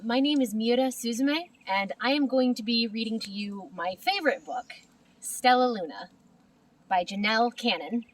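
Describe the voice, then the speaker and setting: A woman narrating a children's storybook in an expressive, deliberate manner. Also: a little high, distant mic, poor audio. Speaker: storybook reader.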